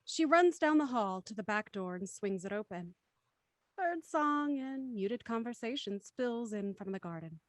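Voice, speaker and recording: A woman narrating a period radio play in a measured, descriptive way. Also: fairly high pitch, lively intonation, no room sound. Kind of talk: scripted narration. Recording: dry close studio sound.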